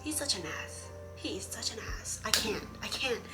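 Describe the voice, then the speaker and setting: A woman's voice: a little high, lively intonation, moderate pace, over music. A woman vlogger from Hawaii chatting casually about a comic she is reading, exasperated and rambling, with many fillers and restarts.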